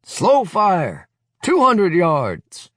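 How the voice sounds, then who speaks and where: A man's voice: sits high, wildly swooping pitch, extremely slow, a bit bright, no echo, studio quality, voice pushed up, heavily acted. A man barking as a paunchy drill leader bellowing orders. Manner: barking commands.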